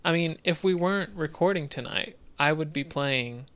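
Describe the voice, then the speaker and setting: A man's voice: fairly high pitch, moderate pace, a bit bright. A man co-hosting a video game podcast in a casual, conversational way. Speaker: podcast co-host. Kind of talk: casual podcast chat about video games.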